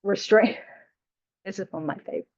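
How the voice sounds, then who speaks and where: A woman's voice: medium pitch, fairly quick. A woman teaching Buddhist practice to a small class in a chatty, frank way, often teasing her students and saying "you know". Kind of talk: casual dharma teaching to a class. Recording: dull lo-fi class recording.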